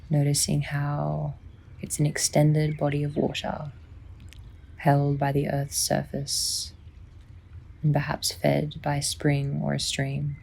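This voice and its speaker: A woman softly and soothingly narrating a guided sleep meditation, unhurried, with long pauses and a breathy, near-whispering delivery. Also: deep voice, completely flat delivery. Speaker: psychologist guiding a sleep meditation.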